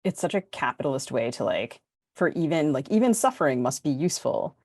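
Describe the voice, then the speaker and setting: A woman's voice: low-pitched, sing-song, a little mellow, dry recording. Woman hosting a book podcast, casual, stop-start with lots of likes and ums, very dry recording. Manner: halting, filler-heavy, frequent "like". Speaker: podcast host. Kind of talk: casual literary discussion.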